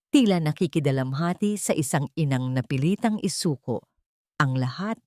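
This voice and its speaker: A woman's voice: low-pitched, darkish tone, no echo, clean studio sound. A woman with a Filipino accent narrating a dramatic scripted story.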